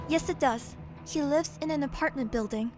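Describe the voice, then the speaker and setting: Woman acting a young headstrong vigilante girl, high voice, earnest, audio drama w faint music. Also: normal speed, natural voice. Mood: matter-of-fact.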